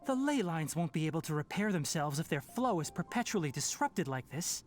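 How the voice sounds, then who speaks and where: A woman voicing a calm, analytical young male scholar in a video game, measured and precise as she explains things. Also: low-pitched, noisy background. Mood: serious, urgent.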